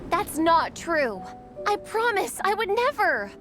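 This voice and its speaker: An actress playing a gentle, sincere young daughter in a video game. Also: female voice, very high voice, sing-song, takes it slowly, over music, exaggerated voice. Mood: pleading. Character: sweet, earnest young artist daughter.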